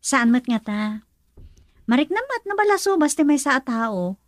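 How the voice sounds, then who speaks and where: An actress with a northern Philippine accent playing a frank, supportive young best friend in a radio drama, animated and questioning. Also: female voice, high voice, moderate pace, no echo, clean studio sound. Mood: frank, curious. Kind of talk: Ilocano radio drama acting.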